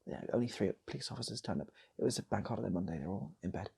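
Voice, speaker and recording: A man's voice: fairly low pitch, expressive, very fast, gravelly, a little mellow, slightly rough. A man with a British accent telling a casual improvised comic story as a channel host, rambling and repeating his phrases.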